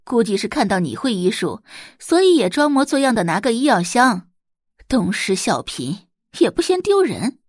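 A woman's voice: fairly high pitch, sing-song, moderate pace, breathy edge, no room sound, clean studio sound. A woman doing a sneering snobbish mother. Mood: scornful. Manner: sneering, contemptuous. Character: scornful middle-aged mother, sharp-tongued and snobbish.

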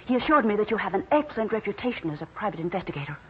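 A woman's voice: medium pitch, big pitch swings, rapid-fire, natural voice. An actress playing a poised, earnest wife in her forties who speaks with formal politeness in an old-time radio drama. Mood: earnest, urgent. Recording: tinny, faintly noisy vintage radio recording.

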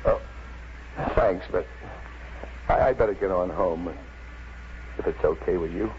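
An actor playing a kindly, plainspoken New York policeman and family man in a 1950s radio drama, talking in a folksy, halting way. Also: sits low. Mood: gentle, tired. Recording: muffled, noisy 1950s radio recording.

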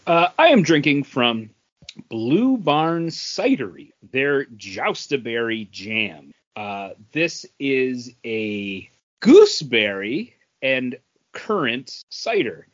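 Guy on a podcast just chatting, medium pitch voice, sound a bit muffled. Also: very animated, takes it slowly. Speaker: comics podcast co-host. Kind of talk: casual chat.

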